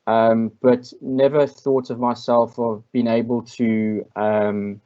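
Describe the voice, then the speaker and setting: A South African man speaks in a steady, level tone, giving his answers to an online interview in a measured, reflective way. He often pauses on ums and restarts. The audio is a little muffled, as over a video call. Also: medium pitch. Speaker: invited speaker. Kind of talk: online masterclass Q&A.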